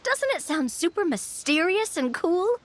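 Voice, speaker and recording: A woman's voice: very high voice, very animated, unhurried, heavily acted. A woman playing a confident, playful young lady with a breezy, persuasive manner in an anime game. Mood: mischievous.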